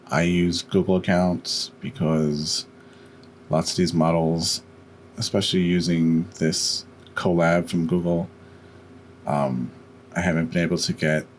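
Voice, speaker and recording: Very low-voiced man giving a tutorial. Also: a little mellow, a little hiss or hum. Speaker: tutorial host. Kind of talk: step-by-step screen-recorded software tutorial.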